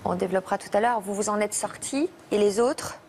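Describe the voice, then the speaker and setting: A woman hosting a TV talk show, asking brisk guiding questions of her guests. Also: medium pitch, darkish tone, a little hiss or hum. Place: TV studio set.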